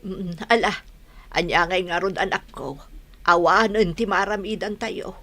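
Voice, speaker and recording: An older Filipino mother in a radio drama. Her voice sits on the low side as she encourages her children and scolds wrongdoing, caring throughout. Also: unhurried, sharp tone, exaggerated voice. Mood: consoling. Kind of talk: Ilocano radio drama acting.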